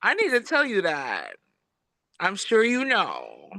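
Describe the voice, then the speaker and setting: A woman's voice: mid-range voice, wildly swooping pitch, slow pace, sharp tone, no room sound, clean studio sound. A woman from Samoa hosting a casual podcast talk, effusive and spiritual, with lots of fillers. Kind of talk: casual conversation.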